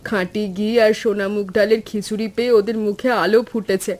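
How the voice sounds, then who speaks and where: A woman playing a gentle, motherly village housewife in a cartoon story, caring and earnest in manner. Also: mid-range voice, little pitch movement, a little fast, lightly acted. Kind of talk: animated story dialogue.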